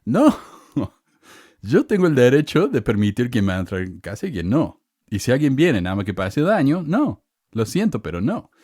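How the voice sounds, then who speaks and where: A man's voice: very animated, normal speed, darkish tone, slightly rough, dry recording, clean studio sound. Indignant man with a Cordoba accent. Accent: Cordoba Argentine Spanish. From Córdoba, Argentina. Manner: indignant, sarcastic, rants with rising pitch. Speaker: podcast host. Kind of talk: casual commentary reading comments.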